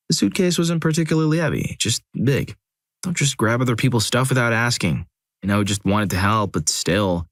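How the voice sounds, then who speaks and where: A man narrating a compilation of first-person stories in a steady, scripted reading, with dry, wry asides. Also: medium pitch, no echo.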